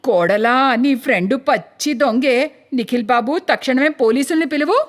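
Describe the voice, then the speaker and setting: A woman's voice: on the high side, animated, normal speed, pitched below the performer's own voice, close to an everyday voice. A woman voicing a stern, bossy older mother-in-law in a cartoon story. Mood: scolding. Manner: scolding, commanding.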